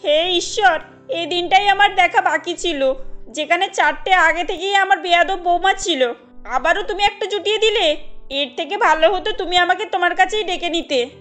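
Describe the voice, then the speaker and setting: A woman voicing a scolding, old-fashioned mother-in-law in an animated family story, indignant and lamenting. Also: very high voice, normal speed, soft background music. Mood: exasperated lament.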